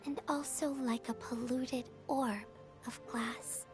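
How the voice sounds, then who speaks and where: A woman voicing a gentle, playful young courier in a video game, with a soft, teasing and earnest delivery. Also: a little high, fairly even intonation, slow pace, music in the background. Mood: wistful, sorrowful.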